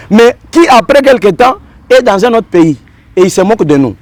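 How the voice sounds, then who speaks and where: A Congolese man speaks as a guest in a political interview, in a high, very lively voice. He argues emphatically, repeating himself and throwing out rhetorical questions. The sound is dry and close. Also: normal speed, no room sound. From Democratic Republic of the Congo. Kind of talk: political and legal argument in a TV interview.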